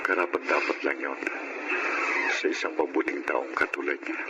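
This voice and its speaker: A man's voice: extremely low pitch, fairly even intonation, moderate pace. A man playing a kindly, remorseful middle-aged funeral parlor owner in Filipino melodrama style. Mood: regretful, earnest. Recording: clear background noise, radio-like sound.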